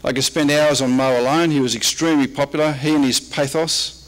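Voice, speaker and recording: An Australian man speaks to a convention room as a presenting historian. His voice sits a little high, and his delivery is casual and informal, with a dry sense of humour. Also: fairly even intonation, a little fast, rough recording. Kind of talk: informal slide-show talk.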